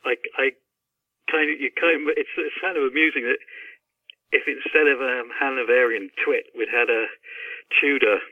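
A husky man talks over a thin telephone connection. He is a guest author explaining things in a loose, halting, digressive way, at a middling pitch. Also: fairly even intonation, no room sound. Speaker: author guest discussing his novel. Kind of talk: casual discussion. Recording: narrow, tinny phone line.

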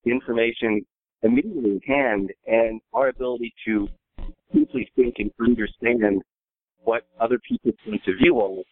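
An American man, an author, speaking reflectively on a panel in a deliberate, halting way that repeats words. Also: medium pitch. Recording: narrow phone-like line.

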